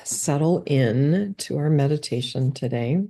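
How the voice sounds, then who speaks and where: Low, gravelly woman guiding a meditation. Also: measured pace. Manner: calm, gentle step-by-step instructions. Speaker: meditation guide. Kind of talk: guided body scan meditation.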